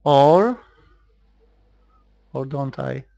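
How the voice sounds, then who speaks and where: A man's voice: mid-range voice, animated, extremely slow, creaky, warm tone, no echo, highs cut off. A man from Poland with a Polish accent giving casual, hesitant live commentary on a card game, thinking aloud as he plays. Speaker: online card game player recording gameplay.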